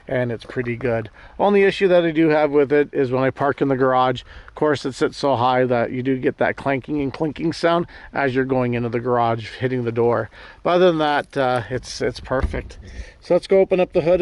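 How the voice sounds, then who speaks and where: A man hosting a vlog, chatting casually and a bit ramblingly to his viewers as he reviews gear. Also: mid-range voice. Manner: chatty, rambling, addresses viewers as guys and gang. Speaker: host of a car gear video series.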